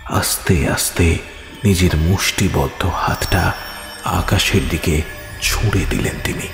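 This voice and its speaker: A man's voice: low-pitched, steady tone, unhurried, gravelly. A man narrating a scripted horror audio story in a theatrical, suspenseful manner. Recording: reverberant hall effect with music behind. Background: music.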